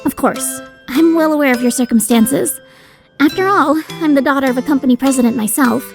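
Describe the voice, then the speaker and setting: A woman voicing a sweet, nosy teenage schoolgirl in an anime fandub radio play, coaxing and teasing with dry asides. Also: high-pitched. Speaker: voice actor. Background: music.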